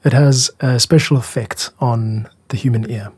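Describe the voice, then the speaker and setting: A man hosting a podcast about making music, explaining things in a measured, candid, self-critical way. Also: medium pitch, steady tone, darkish tone, slightly rough, dry recording, studio quality. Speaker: music podcast host and composer.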